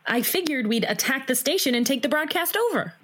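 A woman's voice: sits high, animated, a little fast, a bit bright. A woman playing a brash, determined young rebel in an audio drama, blunt and impassioned in her delivery. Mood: eager.

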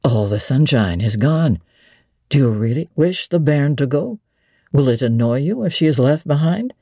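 A woman voicing a brooding, teasing middle-aged gentleman, commanding and whimsical, in a dramatic audiobook reading of a classic novel. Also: a bass voice, lively intonation, normal speed, slightly rough, no room sound, studio quality, full character voice. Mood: brusque, possessive. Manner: whimsical, authoritative, theatrical.

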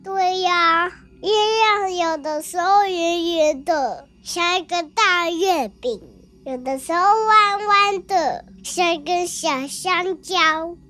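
A storyteller voicing a stubborn little rabbit in a children's audio story, with a childlike, drawn-out delivery. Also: very high-pitched, sharp tone, pitched above the performer's own voice, full character voice. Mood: matter-of-fact.

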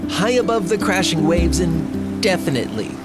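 An actor playing a gleefully sinister, pompous reality game-show host, with theatrical, grandiose announcing. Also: male voice, sits high, lively intonation, normal speed, over loud music. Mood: sly, showy. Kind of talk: over-the-top reality TV announcing.

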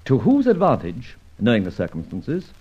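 Gentleman adventurer, urbane, wry, hugely varied intonation, old radio drama, dull vintage recording. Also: mid-range voice, wildly swooping pitch, moderate pace, slightly rough. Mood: brisk, amused. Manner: urbane, wordy, drily witty. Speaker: narrator and lead actor.

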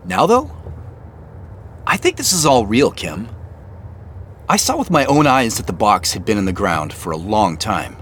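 An actor playing an excited younger brother on a treasure hunt, in naturalistic audio drama dialogue. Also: male voice, lowish voice, a little slow, pauses often. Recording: faint vehicle noise behind. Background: car driving.

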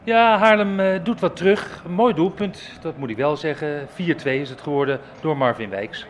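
A man's voice: on the high side, moderate pace, a little hiss or hum. A man giving live football commentary as a radio reporter.

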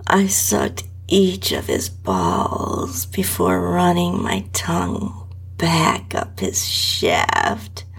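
A woman reads an intimate first-person adult story as its narrator. Her voice is extremely breathy and often close to a whisper, with a gravelly fry in it. She takes it very slowly, with a sultry, drawn-out delivery. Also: lowish voice. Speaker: podcast host and story narrator.

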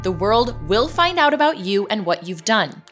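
An actress playing a determined podcast host who earnestly addresses listeners and defies a stalker in an audio drama. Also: female voice, medium pitch, very animated, normal speed, fairly bright tone, soft background music. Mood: defiant.

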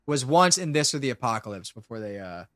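A man's voice: medium pitch, sing-song, fast, fairly bright tone, no echo, clean studio sound. A man in his late twenties with an American accent chats casually on a podcast, rambling, swearing and often interrupting himself. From Pennsylvania, US. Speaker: podcast guest, show creator and musician.

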